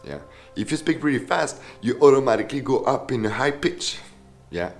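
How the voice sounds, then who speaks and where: A man's voice: medium pitch, lively intonation, a little fast, soft background music. A man teaching as a voice coach in a casual video tutorial, enthusiastic and often checking in with "yeah?". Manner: enthusiastic, tags sentences with "yeah?".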